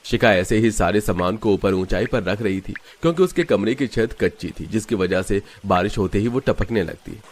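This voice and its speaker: A man's voice: lowish voice, lively intonation, moderate pace, hardly any pauses, slight background noise. A man reading scripted narration for a story.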